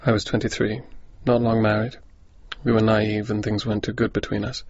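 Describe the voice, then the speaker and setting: An actor playing a melancholy, bookish soldier of twenty-three in a radio drama, reflective and subdued. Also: male voice, lowish voice, level tone, brisk, darkish tone, husky, no echo. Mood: melancholy, resigned. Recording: dry, muffled radio recording.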